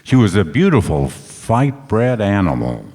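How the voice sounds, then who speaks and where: Man playing a hard-boiled detective, animated delivery. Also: on the low side, a little slow. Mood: tense narration. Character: hard-boiled male private detective, wry and tough. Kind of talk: old-time radio detective narration. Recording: echoey hall stage recording, music behind.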